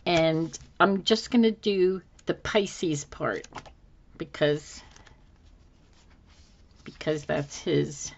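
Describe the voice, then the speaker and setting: A slightly hoarse woman with a low, unhurried voice talks through her crafting at a desk. She muses aloud to herself as she goes. The audio is a bit dull and lo-fi. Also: a little hiss or hum. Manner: unhurried, musing to herself with fillers. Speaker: planner decorating channel host. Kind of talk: casual thinking-aloud crafting narration. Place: indoors at a desk. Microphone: overhead camera, hands only.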